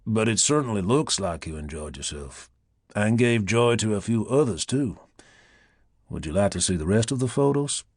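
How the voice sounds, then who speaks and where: Guy doing a creepy smooth-talking southern american man in an audiobook, low whispery purr, lazy mocking drawl. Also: animated, moderate pace, darkish tone, dry recording, clean studio sound. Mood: coolly menacing. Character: sly, menacing American man, languid honeyed seducer-blackmailer.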